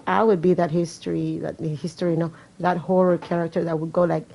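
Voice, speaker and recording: A woman's voice: on the low side, flat delivery, fairly quick, creaky, mellow, a little hiss or hum, low-quality recording. A woman reading game text aloud as a let's play streamer, breaking off for casual, sarcastic, wry commentary. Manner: sarcastic, wry, swearing asides.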